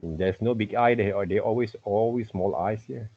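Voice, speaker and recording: A middle-aged man with a husky voice teaching an informal online lesson, repeatedly checking with "okay" and "right". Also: lowish voice, moderate pace. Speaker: teacher, art and character design tutor. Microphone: computer mic on a video call. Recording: muffled video-call audio.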